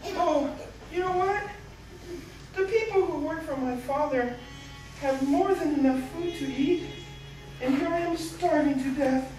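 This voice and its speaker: A woman playing a young prodigal son in a puppet show, with an exaggerated, drawn-out, childlike delivery. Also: sits high, darkish tone. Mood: miserable. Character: young prodigal son puppet, gleeful spender turned starving penitent. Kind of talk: puppet show Bible story. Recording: echoey, distant, music behind.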